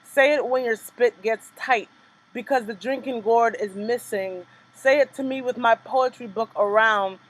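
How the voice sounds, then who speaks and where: A young woman performing her own spoken word poems in a rhythmic, measured delivery, with casual chatty asides between pieces. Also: a little high, low-quality recording. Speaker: poet performing her work. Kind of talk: spoken word poetry reading.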